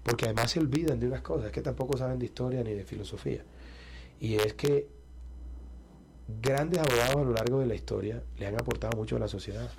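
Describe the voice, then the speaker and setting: A man's voice: mid-range voice, fast talker, slight background noise. Confident Colombian coastal man, emphatic. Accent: Colombian Caribbean coast. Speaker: presidential candidate. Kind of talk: interview answers.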